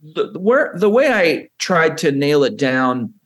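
A middle-aged man, a seminary president, appearing as a guest on a podcast and explaining ideas in a measured, careful way. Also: fairly high pitch, big pitch swings, fairly bright tone. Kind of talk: podcast interview.

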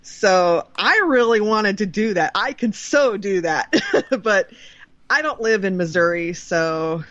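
A woman's voice: mid-range voice, animated, takes it slowly, fairly bright tone, no room sound, dull audio. A woman co-hosting a podcast, casually chatting and reading out entertainment news. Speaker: podcast co-host.

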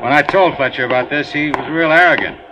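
A man's voice: a little high, quick pace, close to an everyday voice. An actor playing a friendly, talkative ship captain in a 1950s radio drama, rambling and hesitant as he speaks. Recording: muffled old radio broadcast with loud hiss.

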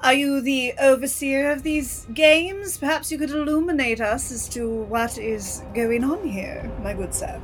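A woman's voice: high-pitched, unhurried, few pauses, soft background music. A woman playing a well-mannered, florid adventurer in a tabletop roleplay. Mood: politely inquiring. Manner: formal, florid. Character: formal, inquisitive, well-mannered fantasy adventurer.

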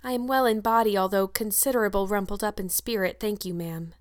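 A woman's voice: fairly high pitch, a little fast, dry recording, clean studio sound, close to an everyday voice. A woman voicing an anxious, dramatic orphan girl in a storybook, gushing and earnest with old-fashioned long words. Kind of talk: audiobook character acting.

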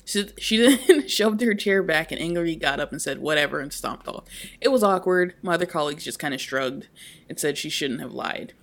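A woman co-hosting a podcast, reading a post aloud in an animated way and laughing at herself. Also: low-pitched, a little fast. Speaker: podcast co-host.

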